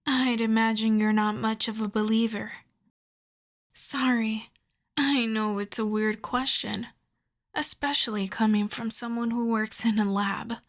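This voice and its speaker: A woman playing a polite, coyly obsessive vampire lab technician in an ASMR roleplay, speaking softly and courteously, often breathily, straight to the listener. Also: a little high, fairly even intonation. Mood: playful, confiding. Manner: soft-spoken, courteous, measured, often breathy.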